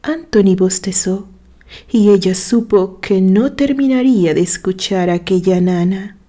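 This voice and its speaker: A woman narrating a scripted story in a gentle, sentimental way. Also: mid-range voice, measured pace.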